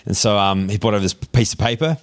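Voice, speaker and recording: A man in his forties with a New Zealand accent, telling his story as a podcast guest in a relaxed, chatty way with plenty of fillers. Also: on the low side, fast, no room sound, studio quality. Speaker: guest, tech founder. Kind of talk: casual storytelling interview.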